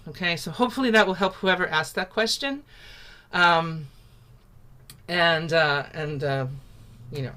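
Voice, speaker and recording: A woman teaching an online singing workshop from home over a video call, chatty and upbeat with lots of "like" and "um", and a naturally loud voice. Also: on the low side. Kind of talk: casual online singing lesson. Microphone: computer mic on a video call.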